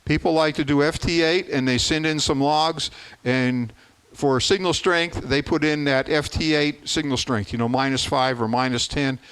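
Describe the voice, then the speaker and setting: A man's voice: fairly high pitch, steady tone, moderate pace, fairly bright tone, clear, resonant tone. A man giving an informal slide presentation to a club meeting, plain-spoken and urging his listeners on.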